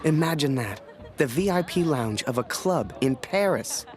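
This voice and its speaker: An actor playing a wistful young army photographer narrating in a game. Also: male voice, a little high, lively intonation, moderate pace, music in the background, crowd noise, lightly acted. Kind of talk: scripted first-person game narration.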